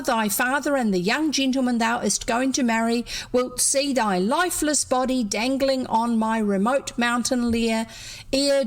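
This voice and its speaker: A bossy young schoolboy barks orders and hams up his lines in a storybook reading, as a woman puts him on. His voice sits higher than an everyday speaker's and he turns sarcastic when thwarted. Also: lightly acted. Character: bossy scruffy schoolboy gang leader.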